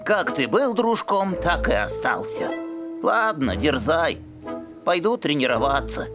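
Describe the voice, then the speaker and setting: A woman voicing a bookish, polite dog boy in an animated series, speaking formally and precisely. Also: deep voice, a little slow. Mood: thoughtful. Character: bookish, polite cartoon dog boy, pedantic brainy brother. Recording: music under the dialogue.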